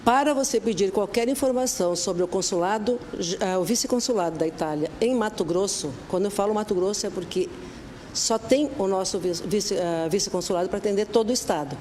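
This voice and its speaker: A woman's voice: mid-range voice, darkish tone, slight background noise. Woman explaining procedures. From Brazil. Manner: explanatory, spells out details slowly. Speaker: honorary vice-consul, guest. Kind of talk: interview answers.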